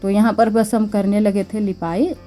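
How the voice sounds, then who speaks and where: Young woman vlogging her chores, bit hoarse from a sore throat, soft mellow voice, chatty and homely, faint music underneath. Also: medium pitch, flat delivery, moderate pace. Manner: chatty, homely, thanks viewers. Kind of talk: casual vlog narration. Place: village home, household chores. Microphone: clip-on mic.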